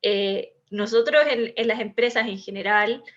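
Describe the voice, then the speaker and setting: A woman's voice: medium pitch, fairly even intonation, unhurried, fairly bright tone, lo-fi sound. A woman with a Chilean accent, an in-house legal manager speaking on a panel in a discursive, explanatory way with many hesitations.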